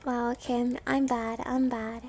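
A woman's voice: on the high side, level tone, moderate pace, dark tone, a little hiss or hum. A woman streamer giving casual, playful and self-deprecating commentary while she plays a video game.

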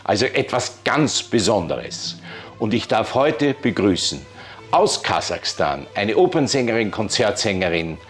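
A man's voice: lowish voice, big pitch swings, a little slow, soft background music. A man in his sixties hosting a formal interview in a polite, effusive way, on a lapel mic in an ornate room. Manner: courteous, effusive. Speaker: interviewer, host. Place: ornate indoor reception room.

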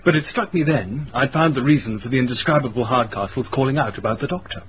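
A wry, well-mannered gentleman played by a man narrates a radio mystery. His pitch is middling and his phrasing is wordy and polite. The sound is slightly dull with faint background noise. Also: fast talker, natural voice. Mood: wry recounting. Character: genteel, witty gentleman recounting his eerie castle stay. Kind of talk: radio drama narration and acting.

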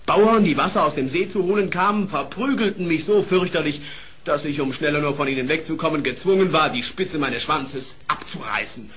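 An actor playing an accusing wolf vassal in a fairy-tale audio play, telling things in a formal, plaintive way. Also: male voice, on the high side, animated, a little fast, fairly bright tone, breathy edge. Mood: aggrieved. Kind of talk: German fairy-tale radio play acting.

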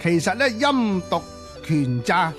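A man co-hosting a radio show, giving conversational literary commentary in emphatic short phrases with drawn-out particles. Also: high-pitched, very animated. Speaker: radio co-host. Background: music under the voice.

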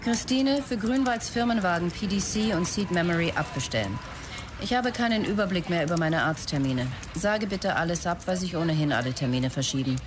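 A man's voice: sits high, lively intonation, a little fast, background noise. An actor playing a visionary, demanding male startup executive in a radio drama, speaking tersely and emphatically. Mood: harried, curt. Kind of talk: radio drama, characters dictating messages.

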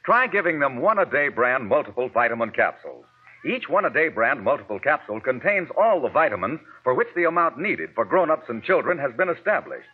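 Male American announcer for vintage radio ads, very animated delivery, tinny period recording. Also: mid-range voice, brisk, breathy edge. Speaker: commercial announcer. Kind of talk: 1940s radio advertisement read. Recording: tinny narrow-band vintage radio broadcast.

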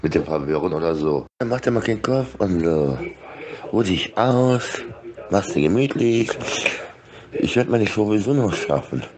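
A middle-aged man rambling casually in halting, fragmented sentences, repeating words, recorded on a phone held close to his face. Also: low voice, animated, crowd noise.

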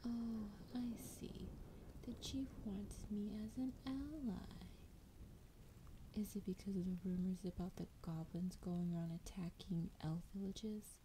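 A woman voicing a sultry, menacing female dragon in a breathy, whispered fantasy roleplay, teasing and drawing out her words. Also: mid-range voice, fairly even intonation, noisy background, natural voice. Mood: curious, mildly annoyed. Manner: slow, teasing, drawn-out delivery.

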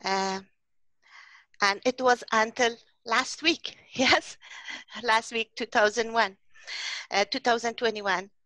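A woman's voice: a little high, slow pace, long pauses, sharp tone, dry recording. A middle-aged woman from Afghanistan, a writer and poet, speaking in a video-call interview through a headset mic with many hesitant fillers.

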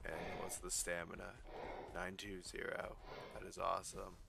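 Hoarse male gaming commentator, deep gravelly tone, noisy home recording. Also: moderate pace. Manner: excitable, swearing when startled. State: losing his voice, hoarse. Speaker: gaming YouTuber. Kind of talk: casual gameplay commentary.

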